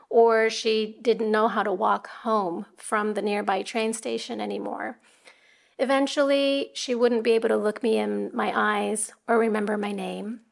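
A middle-aged woman from Japan with an American accent, hosting a podcast from home and telling her personal story in a soft, reflective way. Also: medium pitch, vocal fry. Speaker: podcast host.

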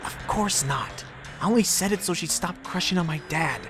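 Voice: medium pitch, normal speed, music in the background, voice pushed up, natural voice. A performer voicing a wry, confident, sarcastic young boy hero in a video game dub.

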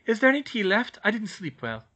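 A man voicing an earnest, bossy teenage witch in a storybook, brisk and insistent. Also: sits high, very animated, pushed and bright, voice pushed up, heavily acted. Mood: reluctant, tired.

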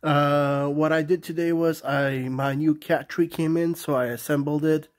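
A man's voice: fairly high pitch, steady tone, a little slow. A man with a cold and a nasal voice, rambling casually as he films his bedroom on a phone for his vlog. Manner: rambling, restarts and fillers, polite sign-off. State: has a cold, nasal and sniffly. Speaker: vlogger. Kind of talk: casual off-the-cuff vlog narration. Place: small cluttered bedroom indoors. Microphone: phone camera held while filming the room.

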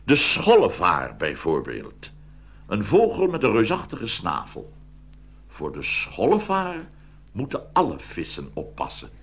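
A man telling a fairy tale as a scripted narrator, with a husky, creaky voice. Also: on the low side, wildly swooping pitch, unhurried, a bit bright. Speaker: storyteller.

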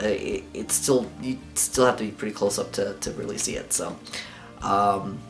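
A young adult man vlogging casually to camera in a living room, rambling with lots of fillers and small laughs. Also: on the low side. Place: living room with lamp and couch, indoors.

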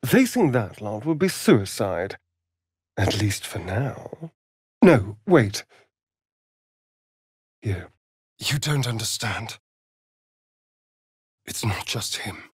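An actor playing a centuries-old, flamboyant and sardonic vampire rogue in a video game, with an arch, theatrical drawl. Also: male voice, on the low side, very animated, very slow, long pauses, darkish tone, breathy edge, no echo, clean studio sound, heavily acted. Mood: distressed. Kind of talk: video game character acting.

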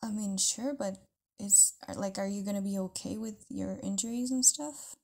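A woman playing a caring, tender partner in an intimate audio roleplay, talking casually in a soft, hesitant way with breathy near-whispers. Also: medium pitch, moderate pace, lightly acted. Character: caring, tender live-in partner of an amnesiac lover.

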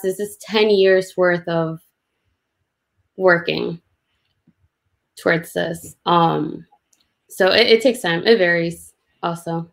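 A woman's voice: on the low side, gravelly. A woman illustrator giving informal, self-deprecating commentary on a drawing demo, explaining as she goes on a video call.

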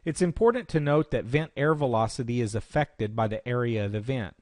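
A man narrates an instructional screencast in a medium-pitched voice. He explains things step by step, like a presenter walking a learner through software. The sound is dry, with very little room. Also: animated, fairly quick, no room sound.

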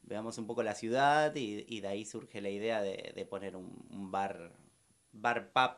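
An Argentine man in his thirties answers a radio host's questions from a studio mic. His voice sits low and carries a gravelly fry. He talks casually and haltingly, often pausing to restart a phrase. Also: fairly bright tone. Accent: Argentine Spanish. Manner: halting, many fillers and restarts. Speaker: bar owner guest. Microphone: studio microphone with headphones.

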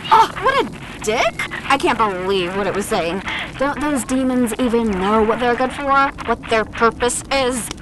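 An actress playing a snarky, excitable young demon fighter in an anime-style audio drama. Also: female voice, on the high side, measured pace, hardly any pauses, heavy background noise.

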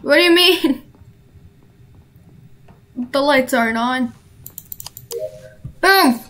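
A teenage girl streaming a social VR game, chatting casually and reacting excitedly. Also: high voice, very slow. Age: teens. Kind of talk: casual gaming chat.

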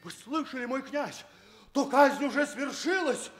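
An actor playing an anxious, devoted servant of a prince in a theatrical radio fairy tale, deferential and dramatic. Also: male voice, very high-pitched, a little slow, loud music behind the voice. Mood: horrified, urgent.

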